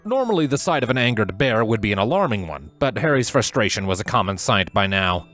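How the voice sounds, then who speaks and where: A man's voice: on the low side, big pitch swings, a little fast, a bit bright, soft background music. A man reading a scripted story aloud as the narrator. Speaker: fan fiction narrator.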